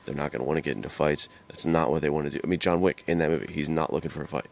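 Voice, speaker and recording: A man's voice: a bass voice, steady tone, very fast, gravelly. A man co-hosting a podcast, talking informally and reflectively, thinking aloud as he goes. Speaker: podcast co-host. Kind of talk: casual podcast discussion.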